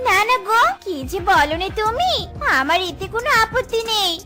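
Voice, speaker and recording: A woman voicing a gushing, quick-tempered young woman in a children's cartoon, exclamatory and theatrical. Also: very high voice, expressive, loud music behind the voice. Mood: scheming, coy.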